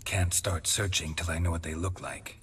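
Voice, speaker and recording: An actor playing a stoic, terse warrior in a video game, with a husky, gravelly voice and deadpan manner. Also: male voice, low-pitched, moderate pace, slight background noise. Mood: stoic, wary. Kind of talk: dramatic video game acting.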